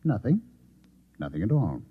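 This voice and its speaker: An actor in a vintage radio drama playing a polite, measured, insinuating Martian who poses as a newspaper reporter. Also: male voice, on the low side, very animated, husky, no room sound, phone-quality sound. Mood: calm, dismissive. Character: coolly menacing Martian posing as a male newspaper reporter. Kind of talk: 1950s radio drama acting. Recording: thin, band-limited old radio recording.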